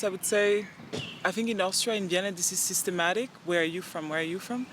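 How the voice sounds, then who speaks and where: A woman's voice: mid-range voice, slight background noise. A young woman from Central African Republic, a native French speaker with a French accent, talking earnestly in a casual interview and acting out bits of dialogue. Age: young adult. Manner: earnest, animated, acts out quoted dialogue. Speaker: interview guest.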